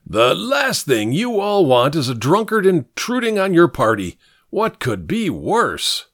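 A man's voice: a little high, wildly swooping pitch, unhurried, fairly bright tone, no echo, studio quality, voice pushed up, heavily acted. A man voicing an old, drunken, wistful scrounger who speaks in florid phrases and stumbles over his words. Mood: boisterous, indignant. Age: older.

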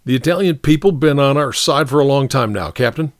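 A man's voice: medium pitch, brisk, dry recording, clean studio sound, pitched above the performer's own voice, close to an everyday voice. A man voicing a cocky, streetwise American private in an audiobook, arguing back in colloquial speech. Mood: defensive. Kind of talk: audiobook character dialogue.

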